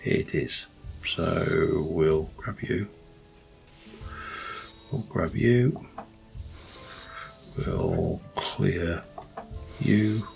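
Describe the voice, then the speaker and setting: A man with a light Yorkshire accent, rambling through casual gameplay commentary with many pauses and restarts. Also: sits low, vocal fry, a little mellow, faint music behind. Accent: light Yorkshire English. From Yorkshire, England. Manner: rambling, thinks aloud with many ums and restarts. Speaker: let's play host.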